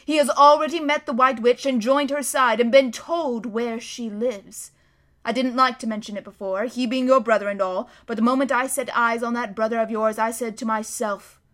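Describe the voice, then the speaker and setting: A woman reading aloud voicing an earnest, protective male beaver who speaks slowly and with weight. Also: a little high, lightly acted.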